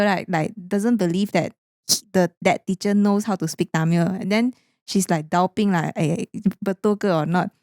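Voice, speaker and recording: A woman's voice: on the low side, a little mellow, dry recording, studio quality. A young woman with a Malaysian English accent, co-hosting a podcast in casual chat and scattering "lah" through her sentences. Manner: chatty, drops in Malay and Hokkien particles like "lah". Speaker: podcast co-host.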